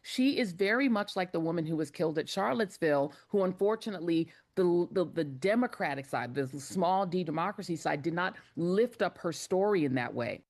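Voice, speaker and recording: A woman giving political commentary on a panel, speaking in a measured, emphatic way. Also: on the low side, lively intonation, darkish tone. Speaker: guest commentator.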